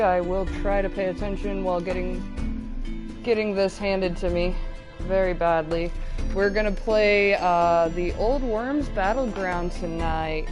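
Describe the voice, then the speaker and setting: Casual woman streamer talking over game music. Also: medium pitch. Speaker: host of a gaming livestream. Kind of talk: casual chat while playing a video game. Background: loud game music.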